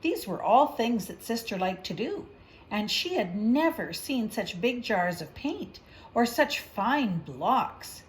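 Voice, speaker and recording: A woman's voice: a little high, big pitch swings, slow, low-quality recording. A woman reading a picture book aloud, with chatty asides and questions as if to a young child. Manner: conversational, explaining to a young child, gentle questions. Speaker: storybook reader.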